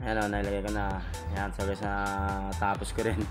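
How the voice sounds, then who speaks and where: A man's voice: on the low side, monotone, moderate pace, over loud music. A Filipino man talking casually and informally to viewers as a vlogger giving a hands-on product demo. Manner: informal, chatty, addressing viewers.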